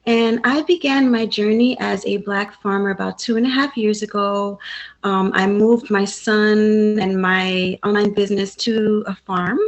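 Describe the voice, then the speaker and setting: A woman's voice: mid-range voice, steady tone, a little slow. A woman originally from Haiti, giving an earnest, reflective talk as a guest speaker at a community event.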